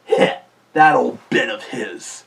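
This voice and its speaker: A man's voice: medium pitch, slow pace, creaky, a bit bright, slightly rough, distant mic. A man hosting a cartoon history video, earnestly explaining in scripted narration.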